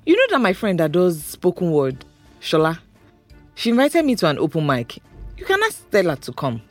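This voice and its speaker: A woman's voice: on the low side, huge pitch swings, normal speed, faint music behind. A woman with a Nigerian accent playing a pushy, teasing young friend in an audio drama. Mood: teasing, insistent. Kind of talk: naturalistic audio drama acting.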